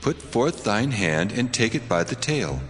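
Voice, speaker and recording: An actor playing God as a solemn, commanding deity who declaims deliberately in a scripture audio drama. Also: male voice, medium pitch, big pitch swings. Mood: instructing, solemn. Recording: long cavernous reverb under prominent music. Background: music.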